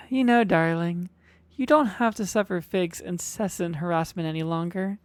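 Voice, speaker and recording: A woman's voice: on the low side, a little slow, darkish tone, no echo, clean studio sound, close to an everyday voice. A woman playing an obsessive, boastful kitsune fox girl in an ASMR roleplay, cajoling and dramatic. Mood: devoted, cajoling.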